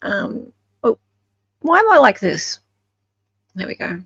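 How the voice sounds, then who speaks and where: Slow, halting Australian woman hosting. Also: medium pitch, huge pitch swings, a bit bright, breathy edge, no echo, lo-fi sound. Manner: halting, searching for words. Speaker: livestream host. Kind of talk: casual unscripted commentary.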